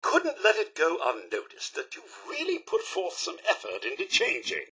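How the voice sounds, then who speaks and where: A man playing a fussy, posh and polite robot butler in a video game, with a British accent, raspy voice and formal, florid delivery. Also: sits high, huge pitch swings, moderate pace, bright, forceful tone, full character voice. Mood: earnest, deferential. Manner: formal, florid, servile diction with dry wit. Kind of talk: theatrical video game character acting.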